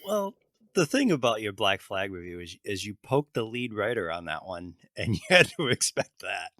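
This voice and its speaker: An American man guesting on a podcast, speaking in a fairly low voice. The sound is dry and close. He is laid-back and joking. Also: normal speed, no room sound. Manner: relaxed, joking. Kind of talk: casual podcast chat.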